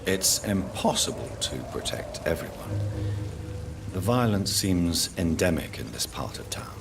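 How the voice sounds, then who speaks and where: An actor playing a courteous, brooding gentleman doctor in a video game, speaking formally with a gravelly voice. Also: low-pitched, sing-song, recorded from a distance, over music, exaggerated voice. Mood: probing, composed. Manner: formal, polite, measured questioning. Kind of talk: video game dialogue acting.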